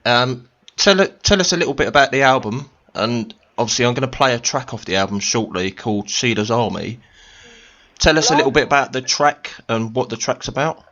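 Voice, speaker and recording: A man's voice: medium pitch, animated, fairly quick, bright, forceful tone, highs cut off. Man hosting a radio interview, informal. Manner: informal, stumbling questions with fillers. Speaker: radio show host.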